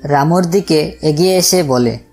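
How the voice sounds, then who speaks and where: A woman's voice: deep voice, unhurried, a little mellow. A woman reads a scripted moral story as its narrator, with music behind her. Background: background music.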